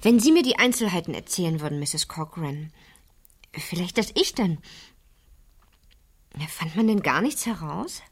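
An intense teenage girl with a breathy, slightly husky voice that sits a little low. She is inquisitive and keeps pressing, as in a radio crime drama. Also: expressive, normal speed, pauses often. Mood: pleading, insistent. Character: insistent, inquisitive 15-year-old girl haunted by a murder.

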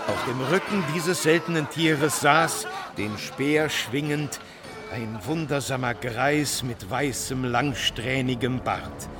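An actor playing a wry diarist who recounts a spectacle with relish, in a deliberate, florid style for a radio play. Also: male voice, medium pitch, music in the background, lightly acted. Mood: amused, grandiose. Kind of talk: diary-like narration in a radio docudrama.

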